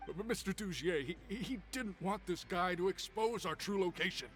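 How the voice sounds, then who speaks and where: A man's voice: sits high, brisk, fairly bright tone. A man voicing a nervous, cowardly gang thug in a game who stammers, heard as game audio with music underneath. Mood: frightened.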